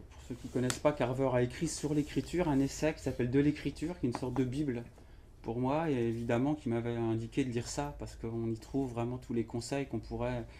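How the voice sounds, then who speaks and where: A man, an author, talking casually about his writing in an interview at a bookshop event, relaxed and halting, often pausing with fillers. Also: mid-range voice, slight background noise. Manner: relaxed, halting, fills with "voilà" and "ouais". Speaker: author at his book launch. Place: bookshop event before an audience.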